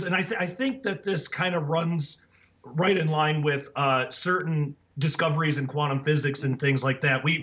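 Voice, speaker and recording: Man, raspy, hoarse, higher voice, earnest, halting, muffled lo-fi audio. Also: fairly bright tone. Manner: earnest, with many fillers and restarts. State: hoarse after the flu. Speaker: guest author. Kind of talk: casual interview talk. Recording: dull lo-fi audio.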